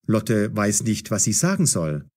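A man's voice: on the low side, sing-song, a little slow, darkish tone. A man narrating a scripted story as the narrator of an audio drama.

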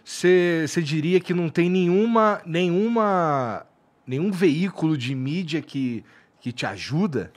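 A man hosting a podcast interview, asking questions in a relaxed, conversational way into a studio mic on a boom arm. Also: takes it slowly. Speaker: podcast host.

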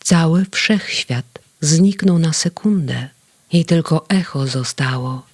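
A woman narrating a children's bedtime story slowly and tenderly. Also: sits low, no echo. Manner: slow and tender, with lively voice play. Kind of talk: storybook reading.

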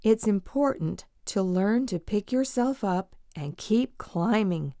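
A woman voicing a kind, wise old great-grandfather goat in a storybook, measured and firm. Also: mid-range voice, lively intonation, warm tone, dry recording, clean studio sound. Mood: gently advising.

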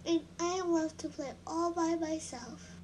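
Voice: very high voice, steady tone, unhurried. A performer voicing a small lonely girl reciting her picture book simply in a horror video, with noisy sound. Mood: childlike, plain. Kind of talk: storybook narration in an amateur horror video.

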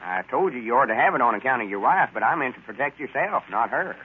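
A man's voice: mid-range voice, very animated, fast talker, natural voice. An actor playing a self-important, exasperated old rural man with a Southern American accent, grumbling in folksy 1930s radio comedy style. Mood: scolding. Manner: folksy, grumbling, mildly pompous. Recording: scratchy, tinny 1930s radio broadcast.

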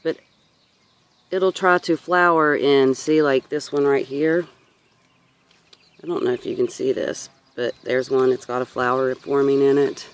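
A woman's voice: very deep voice, fairly even intonation, unhurried. Woman casually rambling through a garden tour. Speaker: gardener giving a seedling tour. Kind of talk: casual rambling vlog narration. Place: outdoors in a rural garden. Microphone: handheld camera or phone.

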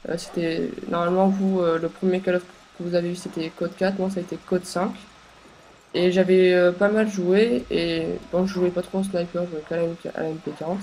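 A young woman with a stuffy, congested voice from a cold, talking over her game in a halting way with many pauses and fillers. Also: lowish voice, steady tone, dark tone, slight background noise, low-quality recording. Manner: hesitant, many filler sounds and restarts. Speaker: gameplay commentator. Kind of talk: casual gameplay commentary.

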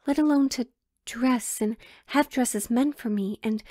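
A woman's voice: fairly high pitch, measured pace, warm tone, no room sound, clean studio sound. A woman playing a sheltered, pleading young royal in a romantic audio drama, with hesitant, formal old-fashioned phrasing. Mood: wistful, hesitant.

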